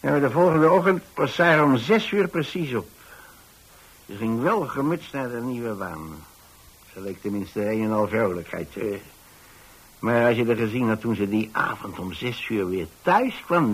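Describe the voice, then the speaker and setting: A man's voice: medium pitch, expressive, moderate pace, slightly rough, rough recording. An older man, a radio actor playing a kindly retired man who tells his story in a hesitant, rambling, conversational way. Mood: concerned. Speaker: radio actor, narrating lead.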